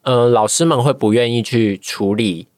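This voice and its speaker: A man's voice: medium pitch, normal speed, a little mellow, no echo, studio quality. A man with a Taiwanese Mandarin accent, reflecting in a podcast interview and often searching for his words. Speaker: guest, voice-acting trainee.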